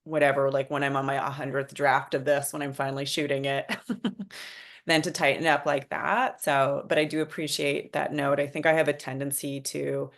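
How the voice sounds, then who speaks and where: A woman's voice: rumbling low, flat delivery, moderate pace. A woman screenwriter talking informally about her own work in a loosely phrased, casual discussion.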